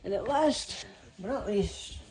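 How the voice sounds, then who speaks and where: A man giving an informal, halting show-and-tell narration as a radio hobbyist. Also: sits high, expressive. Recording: clearly noisy with clicks. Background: weather radio playing.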